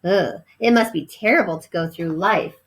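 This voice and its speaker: A woman reading a children's story aloud as a teacher would, expressive, pausing to explain words. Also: fairly low pitch.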